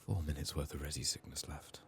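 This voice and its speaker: A man doing soft-spoken, often whispered ASMR game commentary, muttering to himself with a voice strained by illness. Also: extremely low pitch, flat delivery, brisk, a little hiss or hum. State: sick, resting a strained voice. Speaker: video game streamer.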